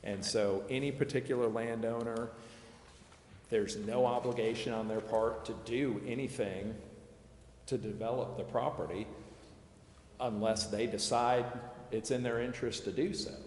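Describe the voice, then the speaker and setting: An American man explaining policy at a public meeting in a measured, halting way. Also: mid-range voice, fairly even intonation, a little mellow. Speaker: advisor explaining city tax district plans. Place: council chambers. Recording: echoey council chamber, background hiss.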